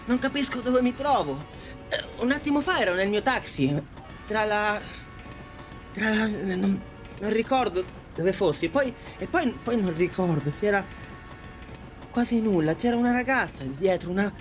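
A woman's voice: medium pitch, animated, normal speed, faint music behind, lightly acted. A woman voicing a bewildered, polite male taxi driver in an audio drama, hesitant and stammering. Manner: hesitant, stammering, repeating himself.

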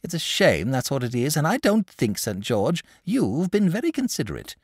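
Man voicing a jolly, lazy storybook dragon, lively drawl. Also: fairly high pitch, normal speed, breathy edge, no room sound, studio quality, voice pushed up, heavily acted. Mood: blustering, indignant. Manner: drawling, murmuring, blustery. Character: lazy, jovial, self-satisfied sleepy male dragon. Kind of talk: storybook character voice.

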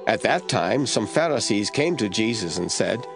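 A man narrating scripture from a script as the storyteller of an audio drama, with a husky voice. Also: on the low side, lively intonation, moderate pace, over music.

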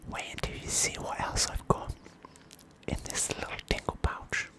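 Young man whispering shady ASMR roleplay. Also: moderate pace. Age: young adult. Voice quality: breathy whisper. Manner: hushed, furtive, repeats words over and over. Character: shady, secretive underground dealer of tingles. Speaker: ASMR performer. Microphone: close studio microphone at the mouth.

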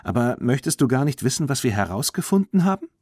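Man as a carefree teenage girl, cheerful, confident, raised pitch, children's audiobook. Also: sing-song, fast talker, darkish tone, no room sound, studio quality. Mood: questioning.

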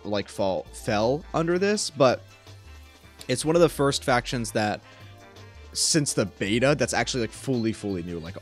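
A young man streamer giving casual, slangy, enthusiastic reaction commentary into a close desk microphone. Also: medium pitch. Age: young adult. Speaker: streamer reacting to game trailers. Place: small room at home.

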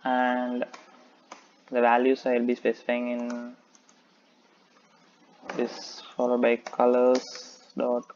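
A man narrates a screen-recorded coding lesson in an almost unchanging monotone, working through each step and pausing on "okay". His voice comes through a dull, lo-fi mic with a faint hiss beneath it. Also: medium pitch. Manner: methodical, steady, thinking aloud, frequent "okay". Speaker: coding tutor.

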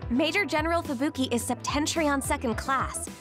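An actress playing a bright, eager young girl in an anime game, bubbly and emotive. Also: sits high, moderate pace, over music, lightly acted. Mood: admiring. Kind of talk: anime video game dub acting.

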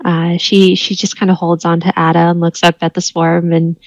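A woman in a tabletop game speaks with a low, gravelly voice and a flat, even delivery. She answers hesitantly, often starting with "uh." The recording is dry. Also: moderate pace, no echo, lo-fi sound. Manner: hesitant, with fillers. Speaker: player. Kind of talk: roleplaying game talk.

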